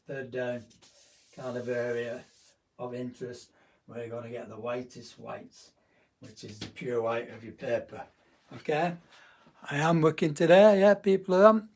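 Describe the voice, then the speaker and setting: A hoarse man, chatting through a live painting demonstration at home. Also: mid-range voice, a little mellow, far from the mic. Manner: chatty, rambling, with fillers. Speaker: art teacher.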